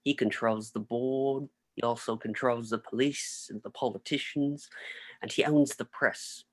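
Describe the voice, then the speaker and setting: A man's voice: medium pitch, steady tone, a little slow, close to an everyday voice. A man reading the part of an earnest older tycoon in a wheelchair at a script table read. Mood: solemn, regretful. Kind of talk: video-call table read of film script.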